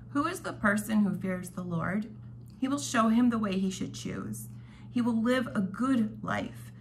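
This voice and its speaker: A woman's voice: fairly high pitch, a bit bright. Woman, devotional host, scripted reading with casual asides, home dining room, faint background noise.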